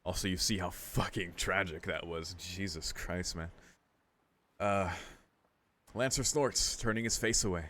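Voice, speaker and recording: A man with a deep voice streams a story game. He alternates between reading narration and relaxed asides, musing aloud and swearing casually. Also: a little slow. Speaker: streamer playing through and reading a visual novel.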